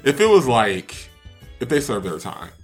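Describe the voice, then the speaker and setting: A man's voice: mid-range voice, big pitch swings, unhurried, music in the background. A man co-hosting a podcast in loose, casual conversation. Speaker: podcast co-host. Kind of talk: casual chat.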